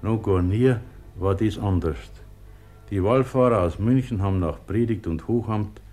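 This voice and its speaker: An actor playing a humble monastery porter, deferential but persistent, in a radio play. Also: male voice, on the low side, normal speed, warm tone, soft background music, lightly acted. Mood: humbly insistent. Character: humble, plain-spoken monastery porter brother. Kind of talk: German radio play acting.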